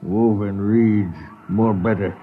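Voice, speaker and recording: An actor playing a placid alien native man who sails the land, speaking slow broken pidgin English in a 1950s radio drama. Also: on the low side, fairly even intonation. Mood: calm, unworried. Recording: muffled tinny old radio, faint hiss.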